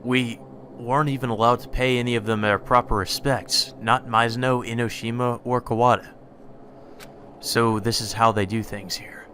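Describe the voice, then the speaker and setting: Male gaming commentator reading a visual novel aloud; bright, punchy, casual and profane. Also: medium pitch, fairly even intonation, normal speed, slight background noise. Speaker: let's play streamer.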